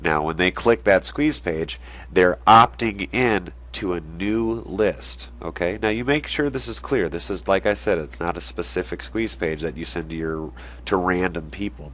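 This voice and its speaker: Young male host, deep low voice, congested from a cold, casual explainer delivery. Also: moderate pace, fairly bright tone. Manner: conversational, drawing on a board while explaining. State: stuffy nose from a cold. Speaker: online marketing video host.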